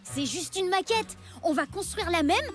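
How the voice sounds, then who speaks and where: A bold cartoon boy, pitched extremely high. He is resourceful and emphatic, always eager to lead. Music plays softly behind him. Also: lively intonation, normal speed. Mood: confident, scheming. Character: bold, resourceful prehistoric boy taking charge. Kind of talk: French animated series dubbing.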